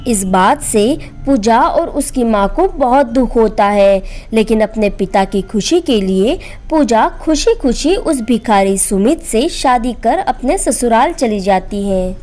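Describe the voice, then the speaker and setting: A woman's voice: on the high side, lively intonation, slow, few pauses, darkish tone. A woman storyteller reading a scripted moral bedtime story as narrator.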